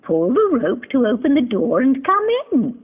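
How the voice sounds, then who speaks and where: A fond, sickly old grandmother from a storybook cartoon. She sounds frail and husky, her pitch raised and her phrases stretched with affection. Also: sing-song, normal speed, phone-quality sound. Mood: frail, affectionate. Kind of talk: children's storybook cartoon acting.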